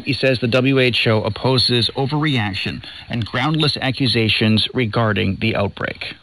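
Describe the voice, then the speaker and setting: A man's voice: mid-range voice, fairly bright tone, poor audio. A man presenting scripted radio news, heard through a shortwave broadcast. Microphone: studio broadcast heard over shortwave.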